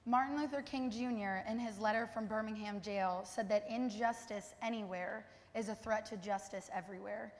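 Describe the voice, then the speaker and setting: Young female stage reader, solemn and oratorical, medium pitch, long hall reverb. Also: moderate pace, hardly any pauses. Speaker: student stage performer. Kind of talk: scripted readers theater recitation. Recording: reverberant auditorium.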